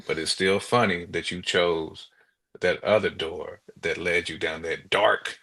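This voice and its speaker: A man with a fairly low voice talks as a podcast co-host. His delivery is casual and colloquial, working toward each point in halting phrases. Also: fairly even intonation, vocal fry, a bit bright, breathy edge. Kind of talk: casual relationship podcast chat.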